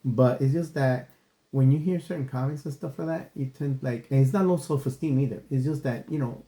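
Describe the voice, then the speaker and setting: A man's voice: mid-range voice, brisk, dark tone, reverberant. A man co-hosting a podcast in casual, earnest chat. He rambles, circles back and fills with "you know" and "stuff like that.". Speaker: podcast co-host. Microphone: desk microphone.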